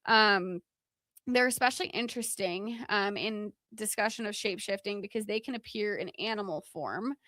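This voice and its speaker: A woman's voice: mid-range voice, normal speed, bright, forceful tone, no echo. Chatty woman co-hosting a podcast. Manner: informal, chatty. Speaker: podcast co-host. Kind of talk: casual podcast chat explaining folklore from notes.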